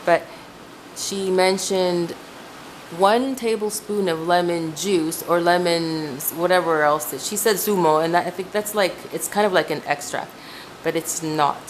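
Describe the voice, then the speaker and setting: Woman, from Ecuador, Spanish first language, lowish voice, rambling home cooking chat, faint noise. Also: steady tone, measured pace, rough recording. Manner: chatty, rambling, restarts and self-corrections. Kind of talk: casual kitchen chat. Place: home kitchen. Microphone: camera mic, speaker off screen.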